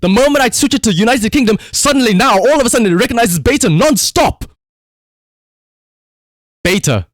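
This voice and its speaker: South African male game streamer, excitable, very lively pitch, dry close sound. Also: no room sound. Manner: animated, excitable, exclamatory. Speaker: livestreamer. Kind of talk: live gaming stream commentary, calling out voice commands.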